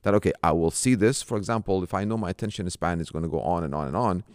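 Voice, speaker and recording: Male, middle-aged, Islamic scholar guest. Deep pitch, dry sound, conversational teaching with simple examples. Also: fast, a little mellow, dry recording. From Iraq. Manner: explains with simple examples and rhetorical questions, Arabic religious terms mixed in. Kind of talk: conversational religious teaching in an interview.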